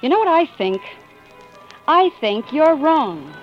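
A woman's voice: high voice, sing-song, slow, exaggerated voice. Plucky young American woman, old radio. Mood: defiant, smitten. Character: plucky young working-class woman in love, wry and dreamy. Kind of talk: 1940s radio drama acting. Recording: muffled vintage radio recording with music.

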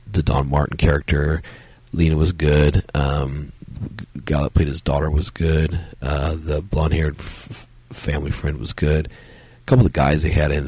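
Very deep gravelly man with a cold. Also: darkish tone, no echo. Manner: rambling, many fillers and restarts. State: has a cold, strained voice. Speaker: podcast host reviewing films. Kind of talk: solo casual review talk.

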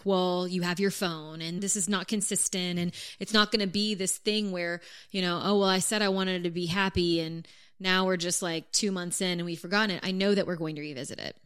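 Woman with a lowish voice, earnest podcast talk. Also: fairly even intonation, fairly quick, clean tone. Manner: earnest, practical, lightly teasing, swears casually. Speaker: podcast co-host. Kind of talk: casual couple's podcast chat.

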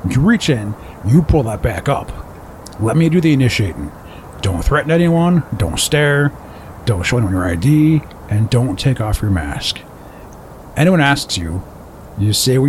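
A man's voice: mid-range voice, big pitch swings, normal speed, a little mellow, slight background noise, natural voice. A man playing a streetwise former criminal turned mentor in an audio drama, dry and commanding.

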